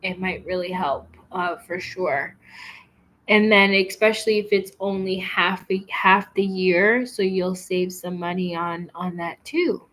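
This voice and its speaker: Slow, chatty woman streamer. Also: fairly low pitch, steady tone, a bit bright. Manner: chatty, rambling, many fillers and restarts. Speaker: livestream host. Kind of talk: casual chat reading viewer comments.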